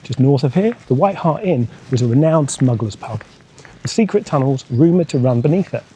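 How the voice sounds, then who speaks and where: A man with a soft-edged, mellow voice at a middling pitch narrates local history and legend as a travel host. He tells it like a storyteller, with faint noise behind his voice. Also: animated, normal speed, low-quality recording. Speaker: host of a motorcycle travel vlog.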